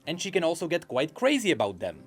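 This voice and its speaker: A man's voice: a little high, huge pitch swings, fast, shouting, music in the background. A man commenting on game lore in a chatty, speculative voice-over.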